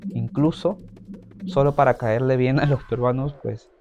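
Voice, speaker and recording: A man with a Venezuelan accent speaking slowly and reflectively as a migrant in a documentary. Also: medium pitch, fairly even intonation, mellow, highs cut off. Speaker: migrant interviewee. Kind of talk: documentary testimony.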